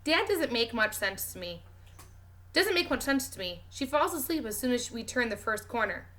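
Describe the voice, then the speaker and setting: Female storyteller, teacher type. Bright tone, expressive and clearly phrased children's book narration. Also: fairly high pitch, moderate pace. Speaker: teacher reading a children's novel aloud. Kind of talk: expressive read-aloud narration.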